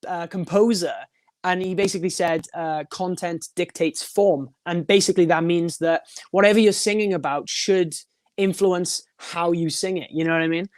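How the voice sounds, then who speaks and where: A young man with a high voice, a band singer talking in a casual podcast interview, rambling with many restarts and little laughs. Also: fairly even intonation, moderate pace, dry recording, studio quality. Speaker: guest, band frontman and vocalist.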